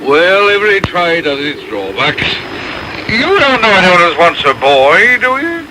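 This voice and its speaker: Self-important beadle, oratorical, slightly high voice, period radio play on noisy medium-wave tape. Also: male voice, big pitch swings, measured pace. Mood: wheedling, satisfied. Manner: pompous, oratorical. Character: pompous, self-important male parish beadle. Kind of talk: radio period drama acting.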